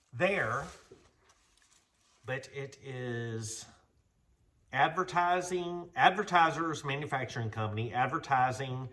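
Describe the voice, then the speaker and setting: A man's voice: medium pitch, fairly bright tone. An American man hosting a casual show-and-tell vlog, talking in a folksy, enthusiastic, rambling way. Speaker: vlog host.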